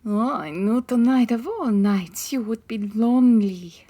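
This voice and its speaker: Slow, breathy French temptress. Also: female voice, on the high side, animated, mellow. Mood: seductive, mournful. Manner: purring, dramatic pauses, broken English. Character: sultry, melodramatic foreign temptress. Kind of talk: comedic old-time radio detective acting.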